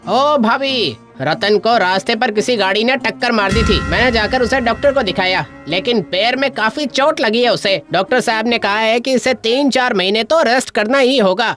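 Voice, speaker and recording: A woman voicing a helpful village neighbour in an animated moral story, explaining things earnestly. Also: fairly high pitch, normal speed, soft background music, close to an everyday voice. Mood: concerned. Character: helpful neighbour reporting bad news to a sister-in-law figure.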